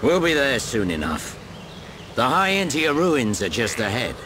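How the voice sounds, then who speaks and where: Gruff, animated mentor warrior. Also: male voice, mid-range voice, measured pace, background noise. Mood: matter-of-fact. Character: gruff older male mentor, secretly deceitful warrior. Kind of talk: video game cutscene acting.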